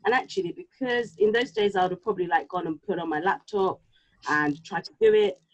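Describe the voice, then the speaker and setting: A woman whose home tongue is Yoruba, chatting casually and reflectively, halting now and then as she thinks aloud. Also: lowish voice. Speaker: podcast co-host. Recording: slightly dull, lo-fi sound.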